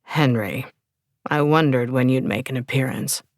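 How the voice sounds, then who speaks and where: A woman voicing a weary old male vampire who speaks tersely and grumbles, in a low voice. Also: normal speed, no room sound, studio quality. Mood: gruff.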